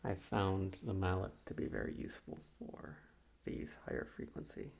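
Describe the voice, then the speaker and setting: A man acts out a sinister male psychiatrist for an ASMR roleplay. His voice is low and very creaky, with a husky, breathy edge. He speaks in a measured, clinical way, hesitating softly as if to soothe a patient. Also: full character voice. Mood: quietly intent.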